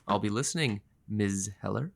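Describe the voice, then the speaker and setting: A man's voice: lowish voice, moderate pace, creaky. A man playing a chipper, smarmy boss with a sugary, passive-aggressive, singsong way of talking. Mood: falsely cheery. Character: chipper, smarmy male boss fussing over rules. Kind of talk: comic audio drama dialogue.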